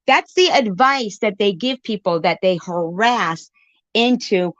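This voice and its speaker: A woman's voice: mid-range voice, expressive, a little slow, dry recording, highs cut off. A woman giving an informal live-stream rant in an irritated, emphatic way, repeating phrases to drive her point home.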